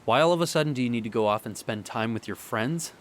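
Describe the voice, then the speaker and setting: A young man playing a heartbroken boyfriend in an audio drama monologue, speaking earnestly and haltingly. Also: medium pitch, expressive, a little hiss or hum, natural voice. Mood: frustrated, accusing. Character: heartbroken young boyfriend pleading with his distant partner.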